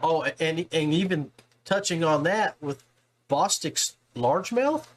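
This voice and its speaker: A man with a somewhat high voice hosts a casual interview. He speaks informally, circling back to restate his thoughts in a conversational way. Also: expressive, a little slow. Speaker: podcast host, interviewer.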